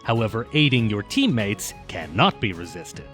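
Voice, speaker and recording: A man's voice: on the low side, sing-song, moderate pace, fairly bright tone, soft background music. A man narrating a scripted video essay in an informal, opinionated way, with casual asides.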